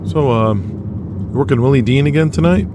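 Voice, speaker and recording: A man's voice: mid-range voice, normal speed, heavy background noise, voice pushed up, lightly acted. A man playing a tentative young wrestler in an audio drama, hesitant, tactful and eager to please.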